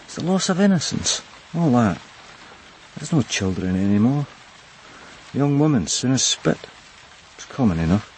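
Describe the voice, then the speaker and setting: An actor playing a dependable, world-weary police detective in a radio crime drama, matter-of-fact and cynical. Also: male voice, medium pitch, big pitch swings, normal speed, pauses often, a little mellow, a little hiss or hum, close to an everyday voice, low-quality recording. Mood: cynical, resigned.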